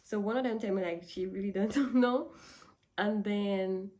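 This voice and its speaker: A woman's voice: medium pitch, unhurried, dark tone. Young Dominican woman, casual vlogger, chatty, repeats herself, laughs often. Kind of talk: casual talk to camera.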